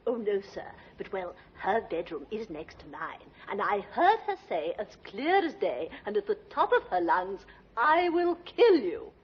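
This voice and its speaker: An actress playing a gossipy, disapproving neighbour in a 1950s radio drama, chatty and self-important. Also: female voice, mid-range voice, big pitch swings, measured pace, slightly rough, full character voice. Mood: indignant, sure. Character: gossipy, disapproving woman neighbour giving a witness statement. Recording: muffled old radio recording, faint music.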